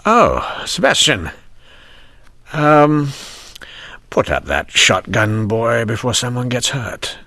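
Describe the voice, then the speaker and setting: A man's voice: medium pitch, big pitch swings, slow pace, pushed and bright, no room sound, exaggerated voice. A man playing an earnest, nervous police inspector, formal and hesitant, in an old-time radio drama. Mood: nervous placating.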